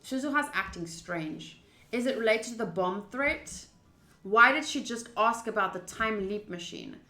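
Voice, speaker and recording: A woman livestreaming a visual novel playthrough, switching between casual chat and expressive reading of the game text aloud. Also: a little high, unhurried, echoey room, soft background music. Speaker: streamer playing a visual novel.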